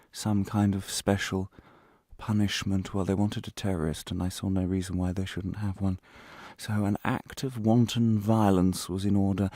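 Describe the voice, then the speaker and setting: A man playing a wronged, quietly defiant ex-prisoner in a radio play monologue, confessional, wry and halting. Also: deep voice, dry recording, clean studio sound. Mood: bitter, defiant.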